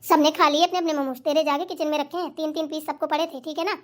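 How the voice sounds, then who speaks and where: A woman's voice: high voice, steady tone, quick pace, close to an everyday voice. A woman playing a bossy, scolding mother-in-law who lectures and chides in a family comedy skit. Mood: exasperated. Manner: chiding, lecturing.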